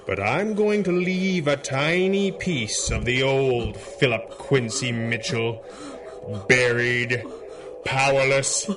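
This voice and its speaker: An actor playing an implacable masked hero with mind powers in a radio superhero drama, speaking gravely with deliberate menace. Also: male voice, mid-range voice, noisy background, natural voice. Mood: cold, commanding. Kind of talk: 1930s-set radio superhero drama acting.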